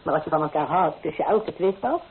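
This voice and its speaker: Proper, low-voiced mother, old radio. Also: expressive, brisk, slightly rough, phone-quality sound, exaggerated voice. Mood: concerned. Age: middle-aged. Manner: soothing yet admonishing, genteel. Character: practical, anxious, proper mother of a bride, conventional. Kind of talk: old Dutch radio drama acting. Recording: hissy, band-limited old radio recording.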